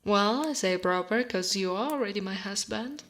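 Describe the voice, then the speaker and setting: A woman playing an affectionate, dominant yet gentle female orc royal guard in an intimate, often whispered ASMR roleplay. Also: medium pitch, lively intonation, close to an everyday voice. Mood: happy, tender. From Italy. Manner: slow, teasing, tender, often dropping to a whisper.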